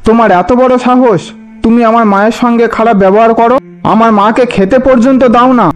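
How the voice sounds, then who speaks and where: A man's voice: very high voice, fairly even intonation, fast, smooth, soft background music. A man voicing a hot-headed, indignant young husband devoted to his mother in a fairy-tale cartoon. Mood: furious. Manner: indignant, threatening.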